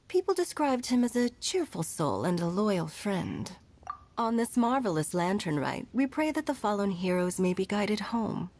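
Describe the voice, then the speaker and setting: A woman's voice: medium pitch, darkish tone, a little hiss or hum, lightly acted. Poised, refined noblewoman, played by an actress. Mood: solemn. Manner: formal, refined, measured. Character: poised, courteous noblewoman leader, shrewd and composed. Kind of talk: video game character dialogue.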